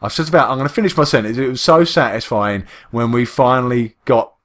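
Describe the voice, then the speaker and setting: A man's voice: mid-range voice, lively intonation, fast, fairly bright tone. A man with an East London accent, chatting casually and rambling with lots of restarts while he plays a game. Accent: East London English. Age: adult, works full time. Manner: rambling, chatty, with frequent restarts and self-interruptions. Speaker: Minecraft YouTuber. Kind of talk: casual gameplay commentary.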